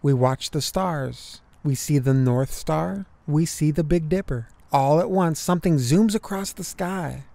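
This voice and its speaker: Man, children's storybook narrator, slow pace, expressive phrasing, dry close sound. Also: a little high, creaky, no room sound. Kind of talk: children's picture book read-aloud.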